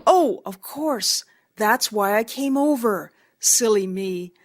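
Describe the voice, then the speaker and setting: An actress playing an easygoing, chummy married neighbor in an audio drama. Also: female voice, fairly high pitch, animated, slow, a little hiss or hum. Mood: friendly, casual. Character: easygoing married woman, friendly neighbor and confidante.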